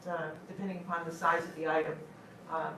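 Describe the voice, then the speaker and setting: A woman's voice: sits low, level tone, quick pace. A woman chairing a school board meeting, asking plain questions. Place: meeting room. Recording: distant echoey room mic, faint noise.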